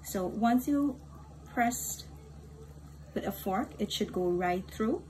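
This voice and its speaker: A woman with a Guyanese accent hosting a home cooking tutorial, giving calm step-by-step instructions and often addressing viewers as "guys". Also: medium pitch, slow pace. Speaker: cooking channel host. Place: home kitchen. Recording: home recording with audible kitchen noise. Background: dishes, pots and kitchen clatter.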